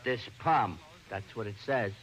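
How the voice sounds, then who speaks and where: Dim, blustering New police sergeant. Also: male voice, on the low side, fairly bright tone. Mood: stiffly formal. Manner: slow-witted, blustering, ungrammatical. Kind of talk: 1940s radio comedy-detective acting. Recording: noisy old-time radio recording.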